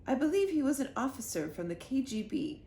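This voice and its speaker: A woman's voice: high-pitched, sing-song, brisk, mellow, echoey room, pitched above the performer's own voice. A woman voicing a prim, haughty English Queen, fussily polite and imperious, in a children's storybook reading. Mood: scolding, imperious. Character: prim, haughty elderly Queen of England on telephone. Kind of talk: children's storybook read-aloud.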